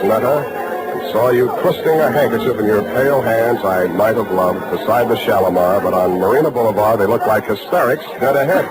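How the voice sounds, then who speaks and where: An actor playing a wisecracking, sardonic private detective in a 1940s-style radio drama. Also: male voice, medium pitch, close to an everyday voice, low-quality recording. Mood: tense narration. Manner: dry, sardonic, wry. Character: wisecracking hard-boiled male private detective. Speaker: actor, narrator and lead. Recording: old radio broadcast recording.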